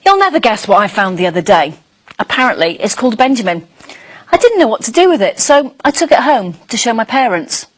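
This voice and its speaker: A woman voicing a curious, friendly young schoolchild dragon who narrates a storybook with wide-eyed, expressive emphasis. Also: on the high side, fairly quick. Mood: eager, puzzled.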